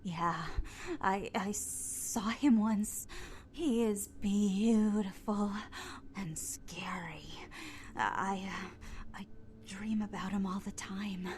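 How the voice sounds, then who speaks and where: A woman's voice: mid-range voice, fairly even intonation, extremely slow, a little mellow, slight background noise. An actress playing a frightened, confused young female cult recruit in a video game, stammering and hesitant, trailing off into whispers. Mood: uneasy, dreamy. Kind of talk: video game dialogue acting.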